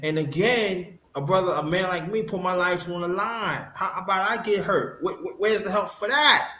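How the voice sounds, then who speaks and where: A man's voice: sits high, normal speed, bright, forceful tone, roomy sound. A man from Brooklyn, talking earnestly in casual chat, often repeating and stumbling over words. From Brooklyn, New York.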